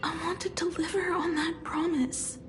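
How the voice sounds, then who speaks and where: A woman playing a frail, earnest young woman inventor in anime game style, shyly sweet, with a hesitant, soft manner. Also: very high voice, steady tone, normal speed, background noise. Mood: wistful, cheerful.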